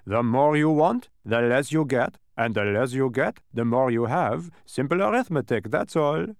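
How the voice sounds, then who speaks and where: A man's voice: mid-range voice, expressive, moderate pace, no echo, clean studio sound, close to an everyday voice. A man voicing a cheerful, smug geometric creature that explains things eagerly in a storybook. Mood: eager, instructive. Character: cheerful, smug, many-faced dodecahedron creature.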